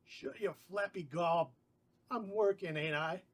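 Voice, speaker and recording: A man voicing a crude, grumpy male schemer in a put-on Cockney accent, gruff and snappy, at a script table read. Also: high-pitched, measured pace. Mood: snapping.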